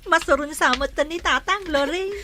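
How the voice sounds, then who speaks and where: A woman's voice: high voice, normal speed, noisy background, natural voice. A woman playing a teasing elder daughter in an Ilocano audio drama. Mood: playful. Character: teasing older sister, a young daughter.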